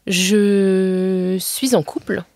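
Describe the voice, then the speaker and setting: A woman in her forties tells her own story openly and frankly, filling her sentences with hesitations and restarts. Her voice sits at an ordinary middle pitch. The sound is dry and close, with no room echo. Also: level tone, extremely slow, vocal fry, darkish tone. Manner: candid, frank storytelling with many fillers and restarts. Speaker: guest telling her love story. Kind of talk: casual podcast conversation.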